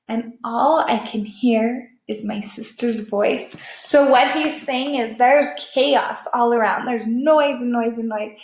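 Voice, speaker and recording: A woman teacher reading a children's book aloud expressively, explaining it and checking understanding as she goes. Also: fairly high pitch. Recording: echoey room.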